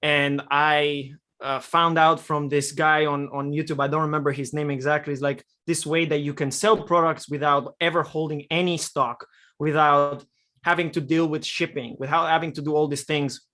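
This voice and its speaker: A man's voice: a little high, moderate pace. Young Romanian-born man telling his story. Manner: relaxed storytelling with many fillers. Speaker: guest, e-commerce copywriter. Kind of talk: podcast interview answers.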